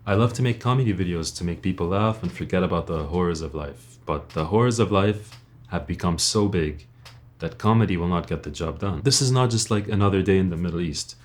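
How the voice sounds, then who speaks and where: A man's voice: low-pitched, fairly quick. A young man from Lebanon speaking as a video creator in an earnest personal monologue, blunt and informal, often putting rhetorical questions.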